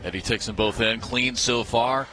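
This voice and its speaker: A man giving live televised play-by-play commentary on a championship match, in an excitable manner that builds with the action. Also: mid-range voice, moderate pace, background noise.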